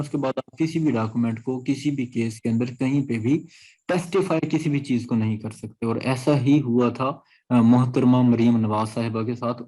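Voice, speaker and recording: A man's voice: mid-range voice, warm tone, rough recording. A man with a Pakistani accent teaching an exam-prep class, explaining point by point in a didactic way and repeating himself. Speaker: law lecturer. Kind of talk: exam-prep lecture, reading out clauses and explaining them.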